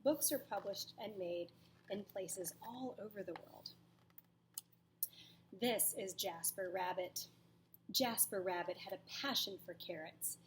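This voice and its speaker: A woman's voice: medium pitch, slight background noise, low-quality recording. A woman teacher reading a storybook aloud to children expressively, with dramatic emphasis and asides to her listeners. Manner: dramatic pauses, emphatic, questions to young listeners.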